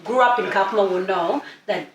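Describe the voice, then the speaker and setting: A woman's voice: mid-range voice, fairly quick. Young woman from East Africa, chatty interview guest, distant mic in a roomy space. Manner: chatty, many restarts, lively storytelling. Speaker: guest singer. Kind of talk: casual interview. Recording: echoey room, distant mic, faint noise.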